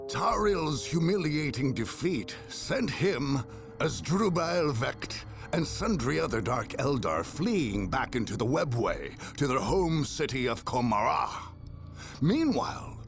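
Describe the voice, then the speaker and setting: A man acting as a grave, theatrical narrator telling a sci-fi war saga in a measured, scripted game style. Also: mid-range voice, vocal fry, full character voice. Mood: wry, grandiose.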